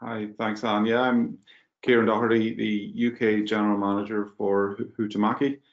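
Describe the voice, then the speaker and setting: Northern irish guy chatting on a webinar, low gravelly voice, lots of ums, echoey muffled audio. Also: little pitch movement, moderate pace. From Northern Ireland. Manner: conversational, with frequent fillers and restarts. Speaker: guest speaker, packaging company manager. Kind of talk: webinar talk.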